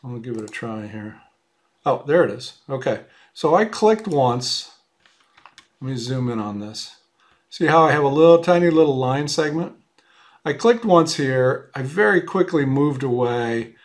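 A man teaching a software class, explaining step by step in a casual, thinking-aloud way with frequent ums and uhs while he demonstrates on screen. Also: mid-range voice, expressive, a little slow. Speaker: woodworking software instructor. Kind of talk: screen-recorded software tutorial. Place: at a computer, voice-over on screen capture.

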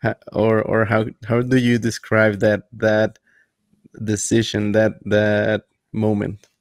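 A young man co-hosting a casual interview over a video call, speaking into a studio mic with headphones on. His voice is mid-pitched and dry, with no room sound. He talks haltingly, restarting words and phrases. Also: completely flat delivery, clean tone, no echo. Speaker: podcast co-host.

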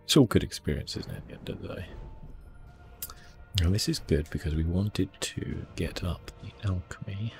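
A man giving soft, hushed ASMR gameplay commentary in a relaxed, unhurried manner. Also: low-pitched, soft background music. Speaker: gaming streamer, host.

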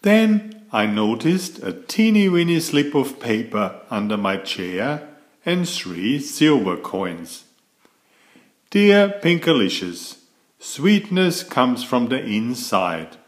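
A man reading a children's picture book aloud in slow, expressive storybook narration. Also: mid-range voice.

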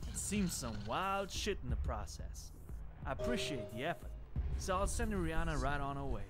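A man plays a cynical street dealer in a video game. His slangy, worn-by-the-world talk swings around in a slightly high male voice, with music behind it. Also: animated, moderate pace, close to an everyday voice. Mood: businesslike. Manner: casual, slangy, world-weary.

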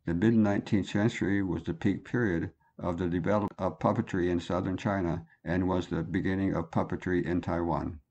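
A man's voice: deep voice, steady tone, fairly quick, vocal fry, few pauses, a little mellow, dull audio. A man reading a scripted educational narration in a plain explanatory way.

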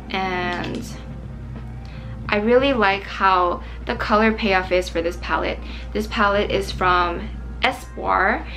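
Young woman, slightly hoarse, chatty makeup talk, soft music behind. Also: mid-range voice, rough recording. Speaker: vlogger. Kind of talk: casual makeup chat to camera. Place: small bright room indoors.

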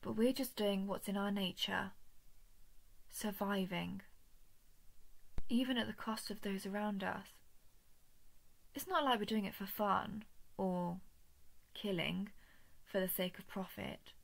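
A woman voicing a coldly menacing, falsely gentle alien parasite in an intimate horror audio roleplay, condescending, often slipping toward a breathy whisper. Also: medium pitch, low-quality recording. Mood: calm menace. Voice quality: creaky, breathy with frequent whispering. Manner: measured, condescending, menacing coaxing.

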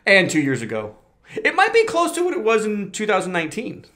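A man's voice: high-pitched, fairly quick. A man in his forties co-hosting a podcast in casual banter, playful and teasing, on a desk mic in a small office studio. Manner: playful, teasing, animated with hand gestures. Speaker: podcast co-host. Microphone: desk microphone.

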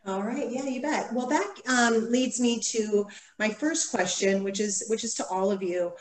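A woman's voice: on the high side, measured pace, a crowd in the background. A woman moderating a panel discussion, posing questions in a friendly, slightly hesitant way with fillers.

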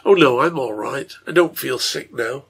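A man's voice: medium pitch, normal speed, creaky, fairly bright tone, husky. A man voicing a remorseful drunkard father in a story, tender and anguished, pleading with a breaking voice. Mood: insistent. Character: wretched guilt-ridden drunkard father, tender, despairing.

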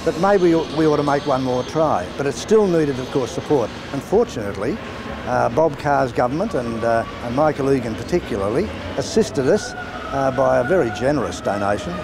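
An older man with an Australian accent, recalling events in a measured interview manner. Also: mid-range voice, lively intonation, music in the background. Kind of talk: interview recollection.

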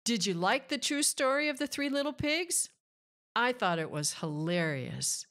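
Woman storybook reader with lively intonation. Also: fairly high pitch, measured pace. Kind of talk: children's read-aloud sign-off.

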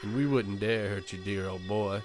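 A man's voice: lowish voice, moderate pace, over music, lightly acted. A man playing a taunting, crude gang boss in a post-apocalyptic radio play, mocking and swaggering.

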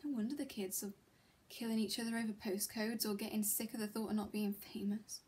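A woman acting a dramatic monologue as a miserable, disillusioned schoolteacher, confiding and emotionally intense, with halting delivery. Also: mid-range voice, steady tone, a little mellow, lightly acted. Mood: quiet, reflective. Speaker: actor performing a monologue.

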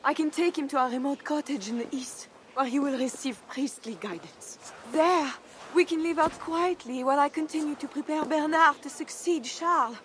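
An actress playing a devout, composed noblewoman who pleads calmly and earnestly. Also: sits high, moderate pace, a little hiss or hum. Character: devout, composed noblewoman, young adult, gentle. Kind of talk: video game cutscene acting.

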